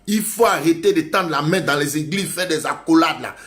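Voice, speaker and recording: A man preaching in a fairly high voice, driving his points home with insistent, repeated exhortations. He has the steady, earnest push of a pastor addressing his congregation. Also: moderate pace. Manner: emphatic, repetitive exhortation. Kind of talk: sermon.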